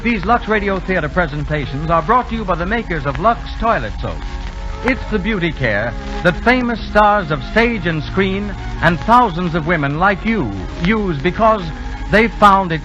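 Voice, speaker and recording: A man's voice: high-pitched, dull audio. A man hosting a 1930s radio theater broadcast in a scripted announcer's delivery.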